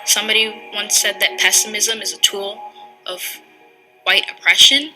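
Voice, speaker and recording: A young woman from the United States speaking thoughtfully and in a measured way, as in a reflective interview. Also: medium pitch, flat delivery, poor audio. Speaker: interviewee in a short film. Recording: faint music underneath. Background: soft music.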